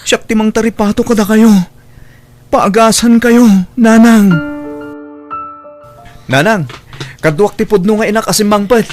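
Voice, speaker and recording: A man's voice: high voice, steady tone, measured pace, pauses often, soft background music. A man playing a devoted, earnest young son in a radio drama, with a pleading manner. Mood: caring.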